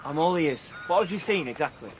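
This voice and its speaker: Male actor as cheeky driver, high-pitched and lively, radio drama, faint birdsong behind. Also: a little fast, noisy background, natural voice. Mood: cheeky, sceptical. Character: cheeky young working-class chauffeur, deferential to his boss.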